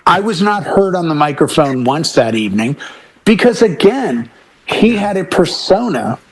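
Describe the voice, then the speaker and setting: Male DJ panelist, middle-aged, slightly high-pitched, casual anecdote teller who voices the people he quotes. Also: sing-song, moderate pace, husky. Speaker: DJ and talk show panelist. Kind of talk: casual chat and storytelling.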